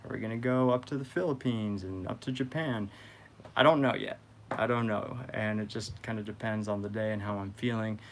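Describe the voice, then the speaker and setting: Low-voiced man musing aloud. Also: brisk. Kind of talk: casual vlog update.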